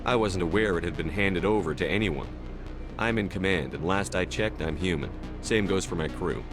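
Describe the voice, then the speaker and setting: An actor playing a composed, guarded human military commander in a video game, with a measured, curt manner. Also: male voice, low-pitched, music in the background, natural voice. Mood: firm, irritated. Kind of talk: video game dialogue acting.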